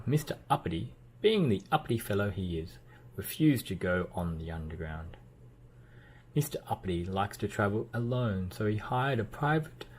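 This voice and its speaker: Low-voiced man reading a children's storybook. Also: slight background noise. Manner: expressive storytelling with playful emphasis and sound effects. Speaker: children's book reader. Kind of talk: read-aloud storybook narration.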